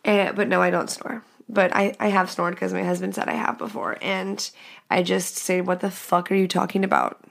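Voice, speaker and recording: A woman in her thirties talks alone on her podcast with a rough, gravelly rasp. Her voice is nasal and stuffed up from a cold. She swears freely and talks frankly, laughing at herself. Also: on the low side, steady tone, moderate pace. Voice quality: raspy, nasal and congested from a cold. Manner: blunt, profane and candid, laughing at herself. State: congested with a cold. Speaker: podcast host. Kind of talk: casual solo podcast monologue.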